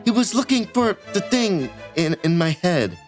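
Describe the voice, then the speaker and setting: A man's voice: high-pitched, very animated, moderate pace, faint music behind. A man playing a brash, defiant spaceship logistics worker in a sci-fi audio drama, speaking with urgent insistence. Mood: pleading, hesitant.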